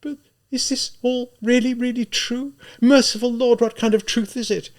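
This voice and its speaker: A man's voice: high voice, big pitch swings, moderate pace, voice pushed up, heavily acted. A man voicing an anguished, timid young woman who pleads in breathless questions in an audiobook drama. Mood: dismayed, pleading.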